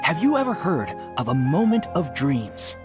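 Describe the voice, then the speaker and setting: Courteous, lively fantasy game event organizer. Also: female voice, sits low, moderate pace, over music. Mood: welcoming, nostalgic. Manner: courteous, welcoming, earnest. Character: polite, eager young female festival organizer in fantasy game. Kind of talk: video game dialogue acting.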